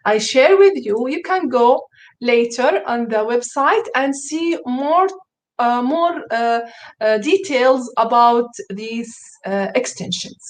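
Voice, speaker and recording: A woman's voice: fairly high pitch, slow. A woman whose first language is Arabic, presenting a screen-share tutorial to teachers, hesitant, with many fillers and restarts, and enthusiastic.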